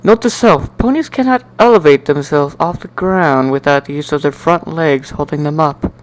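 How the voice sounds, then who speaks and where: A man giving an amateur dramatic reading of a first-person story in an animated, wry, sardonic way. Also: fairly high pitch, moderate pace, low-quality recording. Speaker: amateur narrator.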